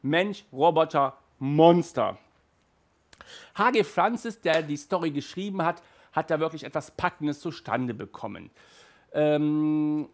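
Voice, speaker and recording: A man in his thirties with a fairly high voice, hosting a casual review from a small room. He talks in a lively, restless way, stumbling and restarting, and sounds hot and flustered by the heat. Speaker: audio drama reviewer, host. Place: small indoor room with toy shelves.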